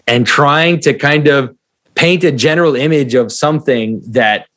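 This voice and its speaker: A man who is not a native English speaker, hosting a casual conversation and talking reflectively while searching for words. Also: mid-range voice. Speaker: host.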